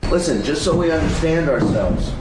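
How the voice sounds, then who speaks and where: A man's voice: a little high, lively intonation, moderate pace, music in the background. A blunt, combative man swearing as he argues in a heated radio discussion. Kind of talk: heated radio studio argument.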